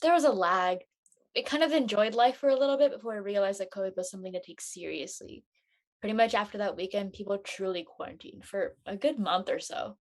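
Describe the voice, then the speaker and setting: A woman's voice: mid-range voice, fairly quick, close to an everyday voice. An actress playing an easygoing, mildly teasing teenage girl in casual drama dialogue. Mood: reflective.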